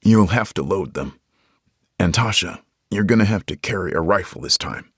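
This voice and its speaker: A man voicing a wounded, resolute tough man who talks gruffly and bluntly. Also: low-pitched, lively intonation, moderate pace, a bit bright, dry recording, studio quality, heavily acted. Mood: commanding.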